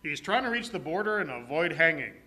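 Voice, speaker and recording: A man's voice: fairly high pitch, big pitch swings, a little fast, pushed and bright. A man playing a heroic, steadfast masked lawman in an old-time Western radio drama, speaking with firm authority. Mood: reassuring, concerned.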